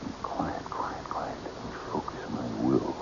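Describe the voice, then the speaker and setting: An actor playing a sinister, imperious occult leader in an old-time radio mystery, grandiose, condescending and coaxing. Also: male voice, a little slow, in a whisper, lightly acted. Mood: commanding, intense. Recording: muffled, noisy old radio broadcast.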